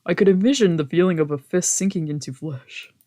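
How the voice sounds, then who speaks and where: High-pitched man doing casual let's play commentary. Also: fairly quick, dark tone. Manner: informal, reactive, swearing, trailing off and self-correcting. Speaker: streamer playing and reading a visual novel aloud. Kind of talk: casual let's play commentary mixed with read-aloud narration.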